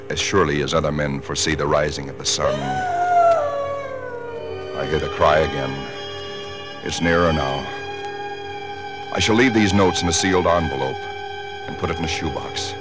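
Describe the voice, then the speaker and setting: An actor playing a doomed, grimly resigned husband with solemn, deliberate phrasing, in 1940s radio drama style. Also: male voice, rumbling low. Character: doomed husband, chemical engineer, grimly resigned narrator of horrors. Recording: old radio recording with loud music.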